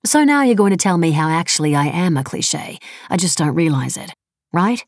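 A woman acting a prickly, scornful young-sounding woman, gravelly. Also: on the low side, very animated, moderate pace, no room sound, studio quality. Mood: sardonic. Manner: blunt, sarcastic, peppered with casual "like". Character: defensive, scornful, foul-mouthed woman activist, earnest and prickly.

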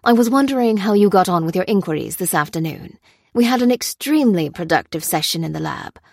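A woman's voice: fairly low pitch, animated, brisk, creaky, a little mellow, no room sound, clean studio sound. A woman acting a pompous, breezy male scientist boss. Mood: breezy, smug. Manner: pompous, formal, genial. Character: breezy, smugly cheerful male scientist boss, coldly callous.

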